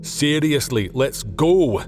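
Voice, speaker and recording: A man's voice: medium pitch, lively intonation, takes it slowly, darkish tone, big-room sound, faint music behind, pitched above the performer's own voice. A man voicing a cheeky, impatient male Scottish workman in an audiobook, with blunt, informal banter. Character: Jamie, cheeky impatient male sewer worker and driver. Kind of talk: audiobook character dialogue.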